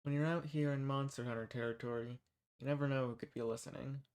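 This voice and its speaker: A man's voice: medium pitch, fairly even intonation, fast, darkish tone, close to an everyday voice. Man acting a folksy undercover monster hunter. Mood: friendly, reassuring. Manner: folksy, reassuring. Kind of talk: scripted roleplay audio drama addressed to the listener.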